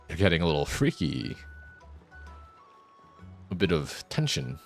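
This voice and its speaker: A man's voice: low voice, sing-song, unhurried, gravelly. A man doing casual live gameplay commentary, rambling and musing to himself as he streams. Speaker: game streamer. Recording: faint game music behind the voice.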